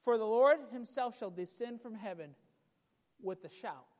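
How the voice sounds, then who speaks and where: A man preaching a sermon with a loud voice, emphatic and repetitive, building in intensity. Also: sits high, moderate pace. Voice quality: loud voice at times. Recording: long hall reverb.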